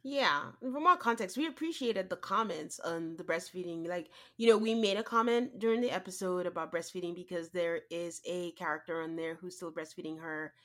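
A woman co-hosting a podcast, nasal and congested from a cold, chatting casually with quick asides and laughs. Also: fairly low pitch, a bit bright. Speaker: podcast co-host. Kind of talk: casual chat.

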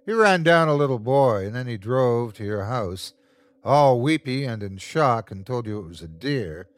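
A man's voice: medium pitch, lively intonation, no room sound, clean studio sound, lightly acted. A man voicing a sadistic, taunting creature in a horror story, savoring every cruel word in a mocking, gloating way. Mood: cruel mockery. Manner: gloating, drawn-out mocking delivery. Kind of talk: horror audiobook character voice.

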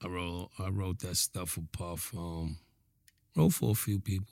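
A man's voice: low voice, fairly even intonation, unhurried, vocal fry, a little mellow, no room sound, studio quality. A man in casual interview talk, laid-back, often trailing off and repeating words before dropping to a mumble. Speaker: rapper guest.

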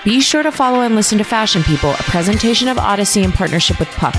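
A woman's voice: mid-range voice, expressive, fast. Woman reading podcast promo over music. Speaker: podcast host. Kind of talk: scripted podcast promo read. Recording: music behind the voice.

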